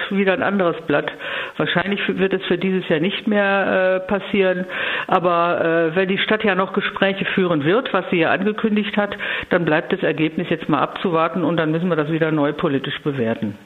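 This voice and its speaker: A woman giving a spontaneous political interview as a local council leader, speaking in a measured, emphatic way with careful wording. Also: lowish voice. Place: phone call to a radio programme. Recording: narrow-band phone line.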